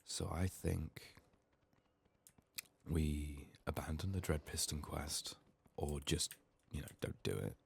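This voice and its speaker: A soft-spoken man murmuring low-key ASMR game commentary, thinking aloud in a slightly sick, strained voice. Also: steady tone, slow pace, vocal fry. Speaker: ASMR gaming YouTuber. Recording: faint background noise, clicking.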